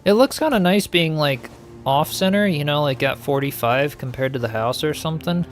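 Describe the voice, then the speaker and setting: A man's voice: on the high side, lively intonation, normal speed, soft background music. A man casually chatting while playing a game and answering viewers, easygoing and musing, often trailing off. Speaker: game streamer. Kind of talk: casual gameplay chat, reading viewer comments.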